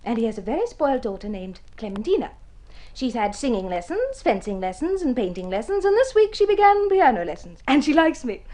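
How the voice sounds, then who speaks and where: An actress playing a devoted, excitable young wife in an old radio drama, theatrical and expressive. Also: female voice, fairly high pitch, fairly quick. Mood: delighted, proud. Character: devoted young wife, struggling music student, proud and excitable. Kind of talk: mid-century radio drama acting.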